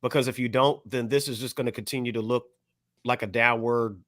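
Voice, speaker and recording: A man's voice: medium pitch, steady tone, fairly quick, fairly bright tone. A man on a podcast panel in casual discussion, insistently spelling out his points.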